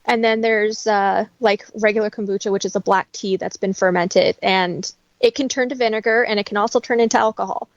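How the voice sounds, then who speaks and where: A woman's voice: mid-range voice, fairly even intonation, fairly quick, few pauses, dry recording. A woman speaks as an interview guest in a chatty, explanatory way, with a mid-range voice. The tone is conversational and informal. The sound is dry and a little dull, like a lo-fi recording.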